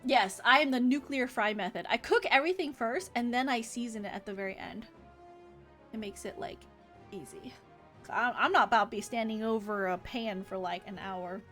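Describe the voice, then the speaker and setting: A woman's voice: a little high, normal speed, a bit bright. A woman livestreaming a game, chatting in an informal, rambling way. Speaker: live streamer playing an online game. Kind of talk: casual livestream chat and reading game dialogue aloud. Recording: music behind the voice. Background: game music.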